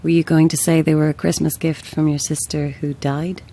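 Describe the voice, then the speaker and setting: Female spiritualist, audio drama. Lowish voice, brisk, blunt and a little flippant. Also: little pitch movement, warm tone. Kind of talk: naturalistic audio drama acting.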